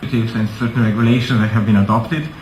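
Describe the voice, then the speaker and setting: A man's voice: fairly low pitch, fairly even intonation, brisk, darkish tone. Man speaking informally in an echoey hall. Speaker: conference speaker. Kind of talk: informal panel remarks. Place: indoor conference hall. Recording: echoey conference room, faint noise.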